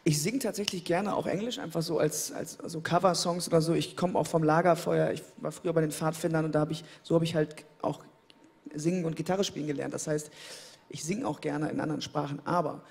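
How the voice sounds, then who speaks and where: A man in his thirties, interviewed on a studio stage, speaking into a handheld mic in a relaxed, thoughtful way, often repeating words as he searches for them. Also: on the high side, a little fast, creaky. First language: German. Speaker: interviewed pop singer. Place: indoor studio stage, seated in armchair. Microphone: handheld microphone.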